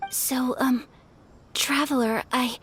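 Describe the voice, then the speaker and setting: A woman playing a polite, refined young noblewoman in a video game, soft-spoken, formal and a little hesitant, with gentle earnestness. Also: high-pitched, fairly even intonation, takes it slowly, a little hiss or hum. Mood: shy, flustered.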